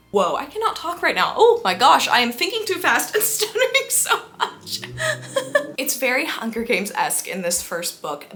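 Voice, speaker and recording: A woman's voice: medium pitch, pushed and bright, slight background noise. A woman in her early twenties chatting casually in an emphatic, gushing way, as a book vlogger does. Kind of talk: casual chat to camera about books.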